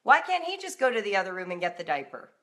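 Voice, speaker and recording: A middle-aged woman with an American accent talks in an informal coaching style. Her mid-pitched voice is direct and conversational, and she stresses her points as she addresses listeners. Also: sing-song, quick pace, fairly bright tone. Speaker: parenting and homeschool coach, live broadcast host. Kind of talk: informal live coaching talk with acted-out example dialogue.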